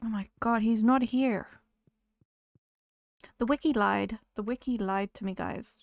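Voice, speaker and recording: A woman's voice: on the high side, measured pace, vocal fry, no echo, studio quality. A woman streaming a game, chatting casually with viewers while losing her voice. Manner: chatty, greets viewers, talks to game characters. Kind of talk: casual live-stream chat while playing.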